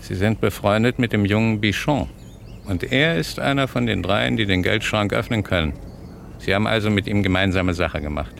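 Smug radio-play blackmailer: deep-set, creaky voice, taunting and insistent, slight old-recording hiss. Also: male voice, moderate pace, fairly bright tone. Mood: coolly menacing. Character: cunning, smug male blackmailer and hotel thief. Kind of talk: 1960s German radio crime drama acting.

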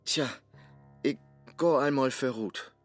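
An actor playing a suave, mocking companion in a rhymed radio play, jaunty and theatrical, with a Low German accent. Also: male voice, slow pace, dry recording, lightly acted. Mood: teasing. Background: music.

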